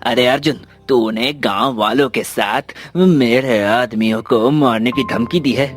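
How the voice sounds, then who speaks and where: A man's voice: medium pitch, a little slow, natural voice. A man voicing a haughty, callous village headman in a moral cartoon, with a scornful, domineering manner. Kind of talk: scripted cartoon dialogue. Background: background music.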